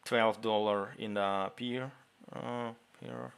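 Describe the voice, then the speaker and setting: A man with a Ukrainian accent, a native Ukrainian speaker, hosting a casual livestream Q&A, reading viewer questions aloud and answering in halting English. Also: on the low side, steady tone, vocal fry, a bit bright, smooth. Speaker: livestream host answering chat.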